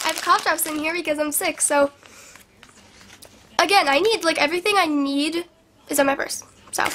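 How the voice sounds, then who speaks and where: A woman's voice: high-pitched, background noise. A young woman vlogging from her bedroom, rambling in a bubbly way with lots of "like", sounding sick and sniffly. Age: young adult. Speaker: beauty vlogger. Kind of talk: casual chatty vlog. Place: small bedroom indoors. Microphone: camera in front of her at arm's length.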